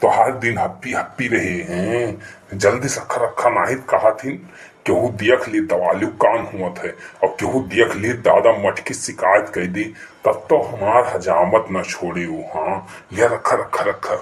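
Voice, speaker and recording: Man voicing henpecked cartoon village husband, Awadhi accent, slow-paced wheedling flirt, roomy echo. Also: lowish voice, natural voice. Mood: nervous, hushed. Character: henpecked middle-aged village husband, sweet-talking flirt. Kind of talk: comic cartoon dialogue in rustic dialect.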